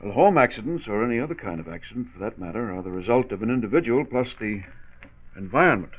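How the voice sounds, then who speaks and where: An actor playing a calm, reassuring small-town doctor, explaining carefully in a 1940s radio drama. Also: male voice, fairly low pitch, quick pace. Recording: tinny narrow 1940s radio recording.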